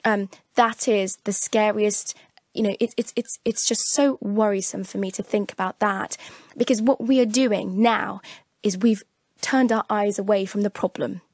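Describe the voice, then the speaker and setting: A woman's voice: mid-range voice, fairly even intonation, moderate pace, dry recording. A woman journalist from Afghanistan speaks as a radio guest with urgent passion, restarting and repeating words as she stresses her points. Her mid-range voice sounds close and dry, with no room around it. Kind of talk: radio discussion.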